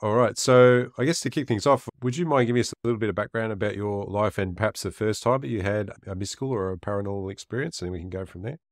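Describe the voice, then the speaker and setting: A man's voice: on the low side, quick pace, few pauses, no echo, clean studio sound. A man hosting a podcast interview, friendly and inquisitive. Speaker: podcast host.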